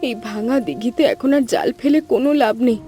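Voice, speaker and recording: Woman doing sweet devoted young wife, high soft mellow voice, pleading. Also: a little fast, close to an everyday voice. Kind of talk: cartoon voice acting.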